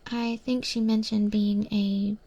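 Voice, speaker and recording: Woman playing a slow, whispering eldritch kitchen mentor. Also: mid-range voice, flat delivery, highs cut off. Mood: hushed, musing. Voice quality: breathy, whispery. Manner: slow, hushed, gently instructive. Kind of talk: whispered ASMR roleplay.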